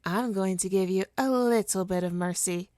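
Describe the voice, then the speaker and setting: A woman's voice: mid-range voice, normal speed, darkish tone, dry recording, studio quality, natural voice. A woman playing a smug, teasing supervillain with hypnotic powers in an ASMR roleplay, taunting and theatrical in a condescending way. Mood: hypnotic, gloating. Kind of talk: ASMR roleplay monologue to listener.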